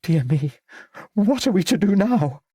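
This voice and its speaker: Agitated aristocratic old woman, acted by a man, high and insistent, for a storybook. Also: very animated, unhurried, warm tone, husky, dry recording, heavily acted. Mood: unsettled. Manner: insistent, indignant, demanding.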